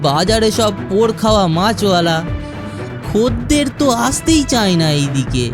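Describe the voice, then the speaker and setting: A luckless, self-pitying fisherman in a cartoon folk tale. His delivery swings widely in pitch and is hesitant and mournful. Music sits clearly behind him. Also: animated, moderate pace. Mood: despairing. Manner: lamenting, hesitant, stammering. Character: poor despairing male fisherman, self-pitying, simple. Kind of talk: Bengali fairy-tale cartoon acting.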